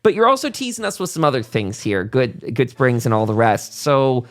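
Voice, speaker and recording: A man's voice: mid-range voice, big pitch swings, moderate pace. A man hosting a podcast in a casual chat style, talking in an enthusiastic, rambling way. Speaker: podcast host.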